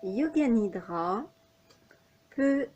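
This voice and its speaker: A woman leading a guided yoga nidra relaxation as an instructor, speaking in measured, unhurried phrases with pauses between them. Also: on the high side, expressive, highs cut off, noisy background.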